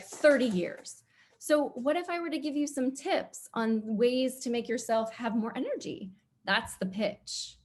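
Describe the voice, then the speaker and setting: A middle-aged woman TV producer speaking casually as a panelist, with blunt opinions and animated, comic exaggeration. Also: on the high side.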